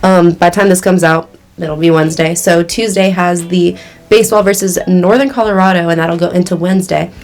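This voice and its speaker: Young female podcast host, lively delivery, casual. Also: fairly low pitch, a little fast. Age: young adult. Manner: upbeat, rundown of games. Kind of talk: casual chat.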